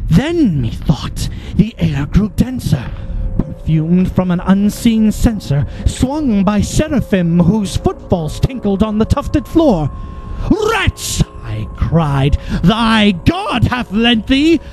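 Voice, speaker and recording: A man giving a theatrical, dramatic recitation of a poem, often dropping into hushed whispers. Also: high voice, very animated, takes it slowly, a little hiss or hum, rough recording. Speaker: narrator performing a poem. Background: music.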